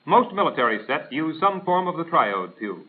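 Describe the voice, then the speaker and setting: A man's voice: a little high, sing-song, moderate pace. An American man reading a scripted instructional narration in a formal, precise, didactic manner, in the style of a 1940s military training film. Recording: tinny band-limited 1940s film soundtrack.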